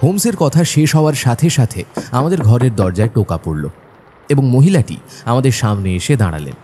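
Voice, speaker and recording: An actor playing a detective's observant companion, narrating the story dramatically in an audio drama. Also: male voice, mid-range voice, big pitch swings, brisk, mellow, soft background music, close to an everyday voice. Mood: descriptive, suspenseful.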